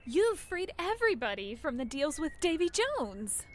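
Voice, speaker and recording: An actress playing an excited, relieved young daughter in a video game cutscene. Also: female voice, sits high, normal speed. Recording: clear ambient noise behind.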